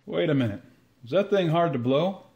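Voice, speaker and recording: A man's voice: fairly high pitch, lively intonation, moderate pace, muffled recording, soft background music. A man playing a genial older man trying out youth slang, folksy and eager, in old-time radio comedy. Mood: curious.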